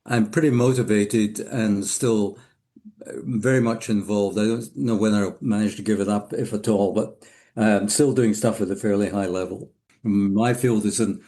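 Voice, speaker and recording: Mellow-voiced older Scottish man. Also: on the low side. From west coast of Scotland. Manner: unhurried, reflective storytelling. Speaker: guest. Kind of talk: casual interview talk.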